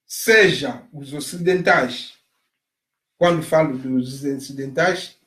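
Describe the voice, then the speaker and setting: A man from Africa giving an impassioned monologue, emphatic and repetitive, stressing his points loudly. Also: mid-range voice, slow pace, roomy sound. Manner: emphatic, repeats phrases, rising to loud stresses. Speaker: commentator on migration.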